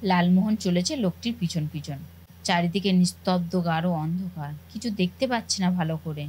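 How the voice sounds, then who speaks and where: A woman's voice: lowish voice, brisk, a little mellow, husky, poor audio. A woman narrating a cartoon story in a scripted storytelling style. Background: faint music.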